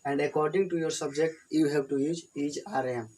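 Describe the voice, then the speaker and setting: A man's voice: fairly high pitch, fairly even intonation, fairly quick, dark tone, slight background noise, rough recording. A man from India with an Indian accent and Hindi as his first language, teaching an English grammar lesson at a whiteboard and repeating his points plainly. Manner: repetitive, plain explanations.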